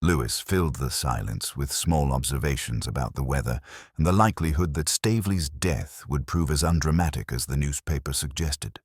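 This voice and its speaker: A man playing a melancholy, introspective detective who narrates his memories in a measured, reflective literary style. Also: extremely low pitch, husky, dry recording, clean studio sound. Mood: brooding, watchful. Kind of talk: slow literary first-person audio drama narration.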